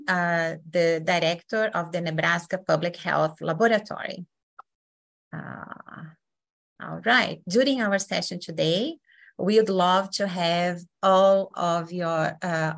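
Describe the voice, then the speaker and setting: A woman from Brazil with a Brazilian accent, hosting an online session as facilitator in a friendly, unhurried way with many fillers. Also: fairly low pitch.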